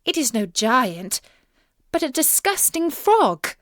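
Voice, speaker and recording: A dainty young storybook princess, played by a woman, speaks in a raised pitch. She is spoiled and easily upset, and uses formal, old-fashioned phrasing. The recording is dry. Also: big pitch swings, slow pace, fairly bright tone, dry recording, exaggerated voice. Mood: upset protesting. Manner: formal old-fashioned speech, high and protesting. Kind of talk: children's storybook character voice.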